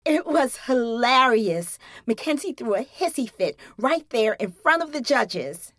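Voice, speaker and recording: Brief: woman doing a sassy teen girl, a little high, dry and teasing, close dry sound. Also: expressive, measured pace, fairly bright tone, dry recording.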